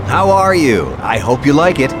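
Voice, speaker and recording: A man's voice: medium pitch, sing-song, moderate pace, music in the background, close to an everyday voice. A man playing a genial party host greeting guests in a video game. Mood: welcoming.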